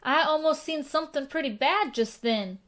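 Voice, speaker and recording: Eager high-voiced frontier boy played by a woman. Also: normal speed, a bit bright. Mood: curious. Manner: folksy, eager. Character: eager, hero-worshipping young frontier boy. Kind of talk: western radio drama acting.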